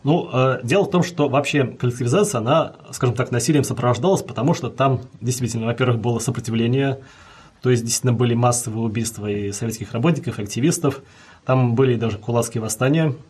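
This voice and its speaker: A man's voice: mid-range voice, quick pace, darkish tone, husky. A man answering as a history guest, explaining at length in a lecturing way with many fillers.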